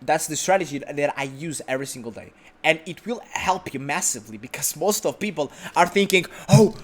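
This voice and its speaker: A man's voice: high voice, a bit bright. A man who is a non-native English speaker, delivering an informal, unscripted motivational monologue as a host, emphatic and repetitive. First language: not English.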